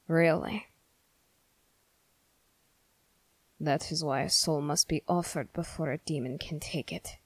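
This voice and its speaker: A woman playing a stern, suspicious young male soldier in an ASMR roleplay, questioning the listener in a measured, formal way. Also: lowish voice, creaky, a little mellow, no room sound. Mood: wary, questioning. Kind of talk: ASMR character roleplay, slow scripted monologue.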